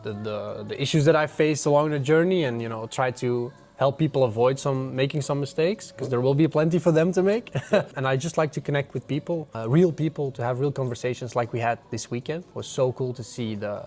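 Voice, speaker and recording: A man in his twenties from Belgium, talking earnestly as a guest in a relaxed interview and often repeating words as he restarts. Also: medium pitch, fairly quick, hardly any pauses, soft background music. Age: 20s. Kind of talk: casual conversation.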